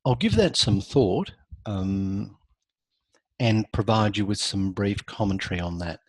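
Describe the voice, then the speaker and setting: Hoarse, husky male law lecturer. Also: fairly low pitch, animated, no room sound. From Australia. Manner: measured, with frequent ums and self-corrections. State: hoarse, losing his voice. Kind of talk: online lecture walkthrough.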